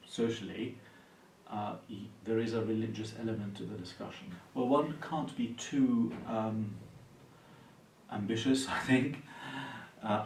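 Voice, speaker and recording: A man from Palestine speaks in a low, gravelly voice, measured and thoughtful, often pausing on fillers. He is recorded in an echoey room from some distance, with faint hiss underneath. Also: a little mellow. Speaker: university lecturer and Anglican priest. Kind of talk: interview answers.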